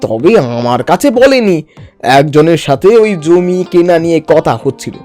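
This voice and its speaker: Man acting sly, higher-voiced village son-in-law. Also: very animated, normal speed, darkish tone, soft background music. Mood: slyly insinuating. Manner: ingratiating, stammering with repeated words. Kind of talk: exaggerated comic cartoon dialogue.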